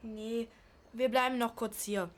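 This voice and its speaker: A woman's voice: a little high, fairly even intonation, a little slow, a little hiss or hum, lightly acted. A young female performer playing a bossy, resourceful eldest sister in an amateur radio play. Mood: eager.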